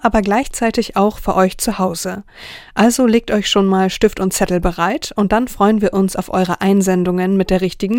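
A woman's voice: medium pitch, a little mellow, no room sound. Woman hosting children's radio, dry studio sound. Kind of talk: scripted, friendly introduction to young listeners.

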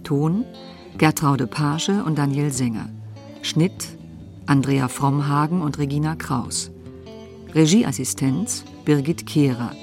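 An announcer reading scripted radio play credits in a measured way, name by name. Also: mid-range voice, a little mellow. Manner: measured, listing names one by one. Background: plucked guitar music.

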